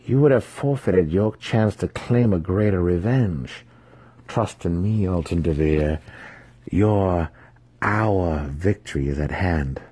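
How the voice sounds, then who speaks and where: A man voicing a scheming female dark elf matron in a fantasy audiobook, condescending, threatening and sneering. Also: low-pitched, takes it slowly, breathy edge, exaggerated voice.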